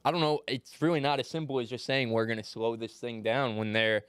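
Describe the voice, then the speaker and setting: Smooth, dry-voiced young man talking sports. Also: mid-range voice, steady tone, a little fast, no echo. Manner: profane, laughing banter. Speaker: podcast co-host. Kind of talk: casual sports chat.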